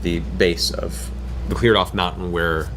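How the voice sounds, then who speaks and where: A man narrating a fantasy tabletop game as game master, measured and descriptive, pausing as he improvises. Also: low-pitched, fairly even intonation, background noise. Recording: music bed under the voice.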